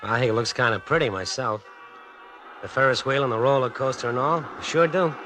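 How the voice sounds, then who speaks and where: An actor playing a tough, restless drifter just out of jail, talking in wry, casual slang in a 1950s radio drama. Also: male voice, medium pitch, a little fast, lightly acted. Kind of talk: 1950s radio drama acting with first-person narration. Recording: vintage 1950s radio recording, music behind.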